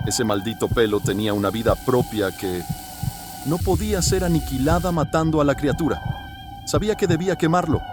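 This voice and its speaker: A man voicing an anguished, horrified man in a horror audiobook, confessing a killing in a hoarse, intense, dramatic way. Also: medium pitch, lightly acted.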